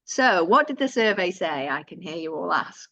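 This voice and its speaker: A woman's voice: fairly low pitch, lively intonation, moderate pace, vocal fry, sharp tone, no room sound. A woman presenting survey findings in a webinar. She talks conversationally and corrects herself as she goes. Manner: conversational, with self-corrections and asides. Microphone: computer mic on a video call. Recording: dull, lo-fi webinar audio.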